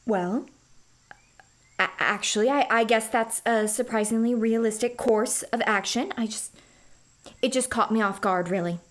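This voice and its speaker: A woman playing an earnest, conscientious starship doctor in a comic science fiction radio play, quick to object. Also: a little high, close to an everyday voice. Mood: deflated. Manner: earnest, indignant.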